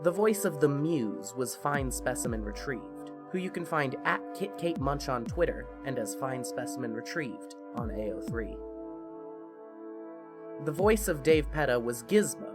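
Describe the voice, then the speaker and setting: A narrator reading a scripted fan fiction podcast in an expressive, measured storytelling manner. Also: mid-range voice, music in the background.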